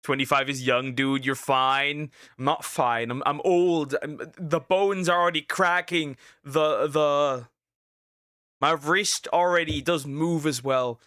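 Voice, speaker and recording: A bright-voiced man in his twenties reacts live to a video, excitable and prone to stammering restarts. He fires off sarcastic little quips in a fairly high voice, and the sound is dry and close. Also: slow pace, no echo. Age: 20s. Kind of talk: casual live reaction commentary.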